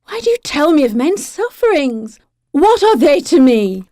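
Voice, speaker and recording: An actress playing a proud, alluring young Siren in an audio drama, speaking in an imperious, deliberate and dramatic way. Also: female voice, high-pitched, big pitch swings, warm tone, no echo, clean studio sound. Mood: cool, curious.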